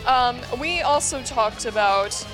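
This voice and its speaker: A woman's voice: fairly high pitch, lively intonation, slow pace, music in the background, low-quality recording. A young woman co-hosting convention coverage, speaking casually and hesitantly. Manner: hesitant, many ums and restarts. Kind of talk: casual chat and interviewing.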